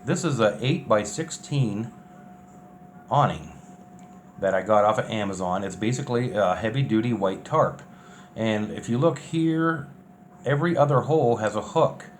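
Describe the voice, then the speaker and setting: A man's voice: on the low side, moderate pace, slight background noise. A man chatting casually and unscripted as a vlogger, in a plainspoken, offhand way. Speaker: vlogger documenting a bus build. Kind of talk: casual unscripted vlog chat.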